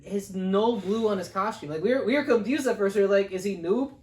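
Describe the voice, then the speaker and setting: A man chatting casually as co-host of a film review, giving blunt opinions in a halting, repetitive way. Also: sits high, a little mellow. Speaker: co-host of a movie review show. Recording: echoey room.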